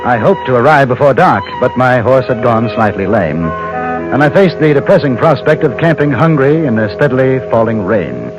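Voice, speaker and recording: An actor playing a courteous English newspaperman in a radio Western, speaking in measured, formal, literate phrases. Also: medium pitch, sing-song, natural voice. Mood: composed, wry. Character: courteous English newspaperman and gunman roaming the West. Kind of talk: 1950s radio drama acting and first-person narration. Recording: muffled old radio recording, music behind.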